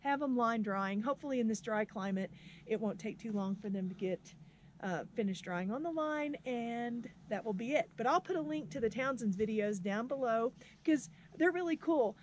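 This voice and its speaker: Middle-aged woman, slightly high, chatty and upbeat, casual vlog, outdoor handheld camera. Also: lively intonation, normal speed, highs cut off, slight background noise. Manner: chatty, laughs easily, upbeat. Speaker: vlogger, history teacher. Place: outdoors at a windy off-grid camp. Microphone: camera at arm's length.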